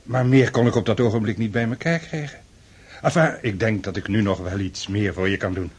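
An actor in a radio drama playing a fond, faltering older count, an indulgent father with a husky voice. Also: on the low side, brisk.

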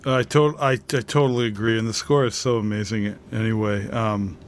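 A man's voice: on the low side, fairly even intonation, normal speed. A middle-aged man co-hosting a podcast, talking casually and earnestly in a rambling way, often repeating words. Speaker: podcast co-host.

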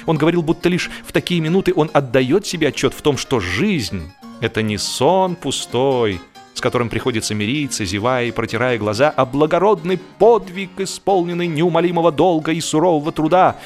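An idle gentleman played by a male narrator holds forth in a comic audiobook. His voice rides high and declamatory, stretching grand phrases with lofty admiration. Music plays faintly behind him. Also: lively intonation, fairly quick, natural voice. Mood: lofty, rapturous.

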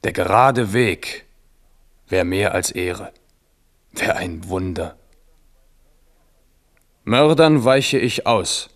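A man's voice: low-pitched, lively intonation, drawn-out words, pauses often, a bit bright, full character voice. A proud French king. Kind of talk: 1970s radio play acting.

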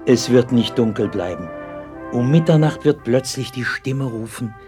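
An actor playing a gentle, devout sixteenth-century pastor in an old German radio play, speaking solemnly and patiently. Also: male voice, mid-range voice, animated, normal speed, music in the background, natural voice. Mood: fervent, exalted. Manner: solemn, patient, earnest preaching cadence. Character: gentle, devout 16th-century pastor comforting a sick child.

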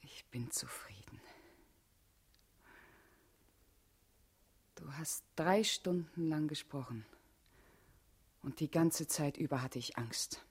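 An actress playing a guarded, urgent female party comrade in a German radio play, speaking gravely and deliberately with a husky, intimate voice. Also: low-pitched, darkish tone, exaggerated voice. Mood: firm, relieved.

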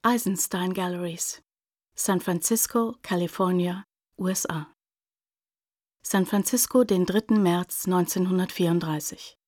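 A woman's voice: on the low side, steady tone, measured pace, a little mellow, dry recording, clean studio sound. A woman narrating a radio drama, reading scripted details in a matter-of-fact way.

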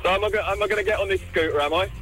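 A man's voice: sits high, racing, fairly bright tone, faint music behind, poor audio. A male caller on a radio phone-in, matter-of-fact and casual. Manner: matter-of-fact, says mate a lot. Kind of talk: phone-in call.

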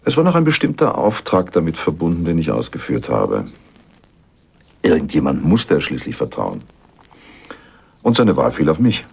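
Casting: cunning, self-assured male schemer in crime radio play, raspy low voice, muffled recording. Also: wildly swooping pitch, moderate pace, long pauses, heavily acted. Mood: cool, cynical. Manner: sly, insinuating, self-assured. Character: cunning, cynical man offering himself as hired killer. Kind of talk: 1980s German radio crime drama.

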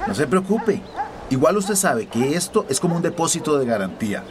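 A brash male smuggler speaks. His delivery is animated, streetwise and cynical. Clear outdoor street noise runs behind him. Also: on the high side, moderate pace. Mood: brusque, cocky. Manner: cocky, streetwise, colloquial. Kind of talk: radio drama acting. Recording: noisy outdoor street ambience with traffic.

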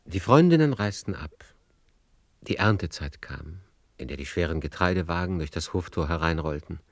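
A man narrating a literary radio play as a measured storyteller. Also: deep voice, animated, no room sound.

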